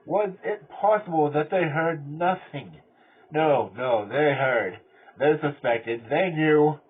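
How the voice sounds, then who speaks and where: A man playing a cunning, mad murderer who confesses in a theatrical gothic horror reading, swinging between hushed control and frenzy. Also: fairly high pitch, takes it slowly, voice pushed up. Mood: frantic, raving. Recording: tinny band-limited audio with clear noise.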